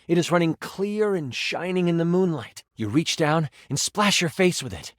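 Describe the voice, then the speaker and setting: A man voicing an excitable, nerdy game master, narrating tabletop fantasy dramatically and dropping into casual, hesitant asides. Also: a little high, moderate pace, dry recording, clean studio sound, natural voice. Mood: hushed suspense. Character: living D20 die, excitable nerdy male game master.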